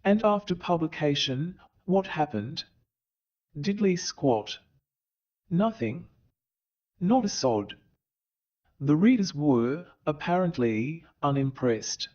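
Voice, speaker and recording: A man playing a theatrical owl narrator who hosts a comic stage play with grandiloquent, wry, deliberate delivery. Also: fairly high pitch, animated, darkish tone, highs cut off. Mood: wry, scornful. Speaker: narrator and host.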